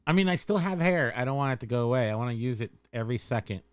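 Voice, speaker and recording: A man bantering as co-host of a casual livestream. His pitch moves around a lot, and he teases and jokes in a goofy, playful manner. The sound is close and dry. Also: lively intonation, rapid-fire, clean tone, no echo. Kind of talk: casual joking livestream chat.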